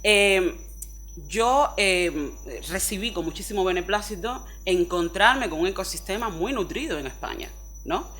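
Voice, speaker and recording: This is a woman with a Cuban Spanish accent speaking on a forum panel. Her voice sits at a mid pitch, and her delivery is expressive and chatty, full of little tag questions. A faint electrical hum runs under the recording. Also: a little slow. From Cuba. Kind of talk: panel discussion talk.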